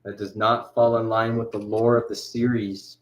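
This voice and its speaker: Rambling, low-voiced young man. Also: little pitch movement, normal speed. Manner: rambling, chatty, many fillers and restarts. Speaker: livestreamer. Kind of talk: casual livestream chat.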